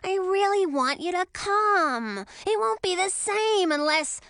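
A woman voicing an adolescent male dragon, eager and childlike, pleading in a high voice. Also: animated, slow, fairly bright tone, no echo, studio quality, full character voice. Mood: whiny.

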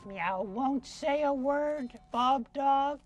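A man voicing a small, timid, secretive female cat puppet for a children's television show, meowing between words in short, halting phrases. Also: very high-pitched, soft background music, exaggerated voice. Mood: anxious, secretive.

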